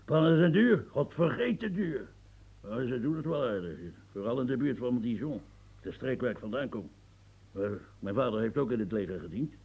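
An actor in a radio play as a grumbling old French marquis and former soldier, husky, stammering and repeating himself as he muses. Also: mid-range voice, expressive, normal speed, darkish tone. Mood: rambling, nostalgic. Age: older. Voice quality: gravelly, husky. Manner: stammering, repetitive, musing, earthy, prods with "huh". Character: rambling, grumbling old French marquis, retired military officer.

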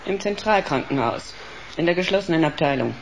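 An actress playing a cold, authoritative professor of psychiatry in a radio drama, measured and clinical. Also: female voice, fairly low pitch, animated, gravelly, slight background noise, poor audio. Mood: coolly clinical. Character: cold, authoritative female professor running a locked psychiatric ward.

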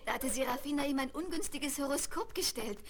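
A female performer playing a lively, chatty young circus girl in a children's radio play on old vinyl. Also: high voice, brisk, a little hiss or hum. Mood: knowing, eager.

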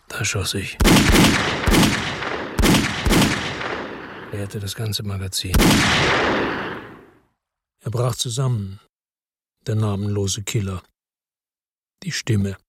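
An actor playing a weary hard-boiled man who narrates his own crime story in a radio drama, terse, brooding and husky. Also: on the low side, fairly even intonation, slow pace, pauses often, noisy background. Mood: grim, tense.

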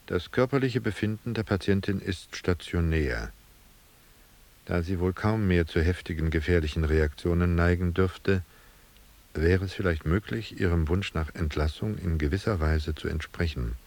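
A man's voice: sits low, moderate pace, darkish tone. An actor playing a male asylum doctor who delivers clinical notes in a formal, impersonal manner in a radio drama.

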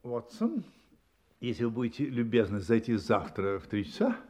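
Shrewd detective with swooping intonation. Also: male voice, mid-range voice, big pitch swings, mellow. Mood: businesslike, courteous. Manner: probing, unhurried, ironic interjections. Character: shrewd, composed male private detective questioning a client. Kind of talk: Russian audio drama acting.

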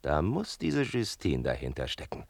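A man's voice: very deep voice, wildly swooping pitch, moderate pace, gravelly, darkish tone, no room sound, heavily acted. An actor playing a hearty older village godfather in a radio play, bluff, jovial and fatherly in his teasing.

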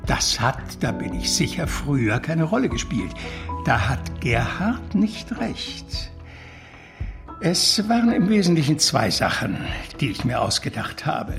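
Cultured anguished witness in a radio play, swingy pitch, bit of fry, music under him, formal and self-justifying. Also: male voice, sing-song, a little slow. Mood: earnest, troubled. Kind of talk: German radio drama acting.

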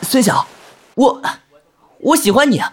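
A man's voice: extremely high pitch, animated, measured pace, slight background noise. A man acting a bashful, lovestruck young geek who stammers and hesitates, in a romantic audio drama. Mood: flustered, desperate. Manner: stammering, hesitant, easily embarrassed. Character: shy, flustered, lovestruck geeky young man. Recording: music bed under the voice.

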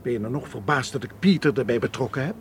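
A man's voice: medium pitch, expressive, quick pace, slight background noise, lightly acted. An actor in a radio play playing a worried, cautious man who argues earnestly and insistently. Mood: earnest, persuasive. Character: worried, cautious male friend urging sense. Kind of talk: radio drama acting. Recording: radio play with crowd murmur behind.

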